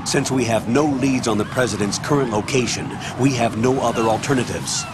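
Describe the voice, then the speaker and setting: Casting: male actor as older military commander, middle pitch, stern, guarded, firm orders, game dialogue over noticeable noise. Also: brisk, close to an everyday voice. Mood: commanding. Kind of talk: video game radio briefing acting.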